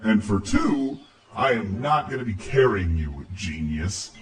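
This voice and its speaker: A man's voice: on the low side, sing-song, unhurried, far from the mic, very noisy. A man voicing a cocky, teasing androgynous dream spirit guide with a sardonic, playful, theatrical manner. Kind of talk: character voice acting.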